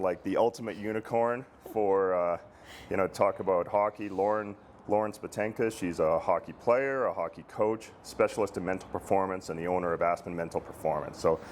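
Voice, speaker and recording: A man hosting a casual interview, speaking haltingly and repeating words as he phrases his questions. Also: deep voice, a little hiss or hum. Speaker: interview host, girls hockey director.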